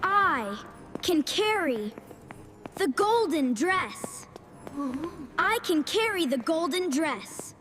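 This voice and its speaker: A woman voicing an eager, cheerful young girl in a beginner English storybook cartoon, speaking slowly and deliberately in simple sentences. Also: very high-pitched, sing-song, over music, full character voice. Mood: bright, eager. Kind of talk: slow scripted English lesson story dialogue.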